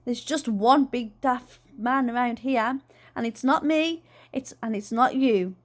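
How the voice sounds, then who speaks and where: A woman voicing a bossy, no-nonsense storybook aunt, brisk, opinionated and full of disapproval. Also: on the high side, close to an everyday voice. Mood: exasperated.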